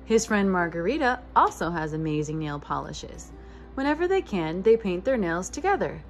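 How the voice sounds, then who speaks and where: A woman's voice: fairly low pitch, very animated, normal speed, faint music behind. A woman in her thirties reading a picture book aloud as a preschool teacher, expressively addressing young children. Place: living room with couch, indoors.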